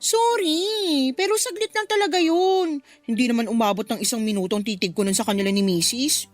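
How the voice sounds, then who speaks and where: A woman's voice: high voice, brisk, dry recording, soft background music, natural voice. A woman with a Filipino accent playing a cheeky, flippant mistress in a radio drama. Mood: teasing, sheepish.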